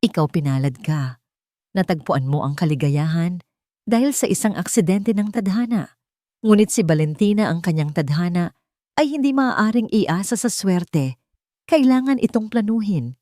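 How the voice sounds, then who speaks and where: A woman's voice: lowish voice, animated, moderate pace, mellow, no room sound, clean studio sound, close to an everyday voice. A woman narrator voicing a stern, controlling older grandmother in a dramatic story, speaking formally and firmly. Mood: cold, disdainful. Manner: formal, firm, haughty.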